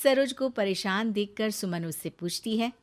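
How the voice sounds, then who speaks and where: Female narrator, medium pitch, scripted storytelling, moral tale narration. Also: moderate pace.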